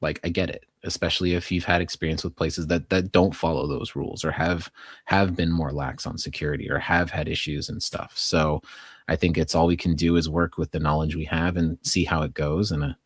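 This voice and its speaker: A man's voice: rumbling low, steady tone, fairly quick, no room sound, studio quality. An American man co-hosting a podcast, talking through local news in a casual, conversational, hedging way with plenty of "like". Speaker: podcast co-host.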